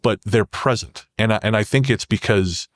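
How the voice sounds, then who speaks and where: A man's voice: fairly low pitch, moderate pace, no room sound, clean studio sound. A man from Canada talking as a podcast guest in a reflective, conversational way, often restarting his phrases. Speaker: podcast guest, horror fiction creator. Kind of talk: conversational interview talk.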